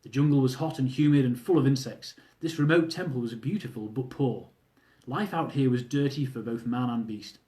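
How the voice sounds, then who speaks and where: Man reading his memoir aloud, mellow tone. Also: medium pitch, normal speed, hardly any pauses. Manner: wry, humorous storytelling, with asides to listeners. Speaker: author reading his own book. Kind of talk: audiobook-style reading of a travel memoir.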